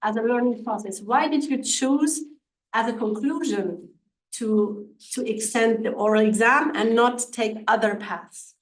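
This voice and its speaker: A woman in the audience asks the presenter a measured, thoughtful question. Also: fairly high pitch. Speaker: audience member asking a question. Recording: echoey room, voice from far off the mic.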